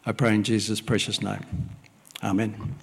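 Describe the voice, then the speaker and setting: A middle-aged man from Wales, a native Welsh speaker, preaching a sermon and praying in a church service, speaking plainly with frequent "uh" pauses. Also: fairly low pitch, flat delivery, creaky, breathy edge. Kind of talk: sermon with prayer. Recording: long hall reverb.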